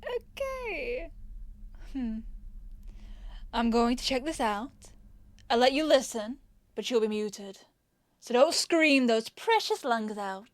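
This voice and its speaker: A woman's voice: on the high side, big pitch swings, slow pace, long pauses, dry recording. A woman playing a possessive, smug rich young captor in an ASMR roleplay, teasing and condescending with a sweet menace. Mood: alarmed, stern. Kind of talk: ASMR roleplay audio drama.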